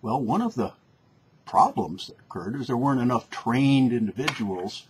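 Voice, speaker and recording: A man's voice: mid-range voice, lively intonation, moderate pace. A man lecturing informally, explaining in a conversational, didactic way and often rephrasing for emphasis. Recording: faint background noise.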